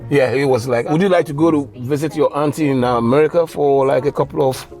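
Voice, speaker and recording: A man's voice: medium pitch, fairly quick. A middle-aged man from Ghana, a taxi driver, telling stories casually in his cab, loose and slangy. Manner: relaxed storytelling with slang. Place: inside a taxi.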